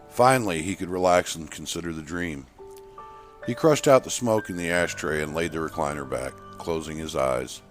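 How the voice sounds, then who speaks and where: Male narrator, low register, scripted short fiction read, soft music bed. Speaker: author and narrator. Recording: music behind the voice.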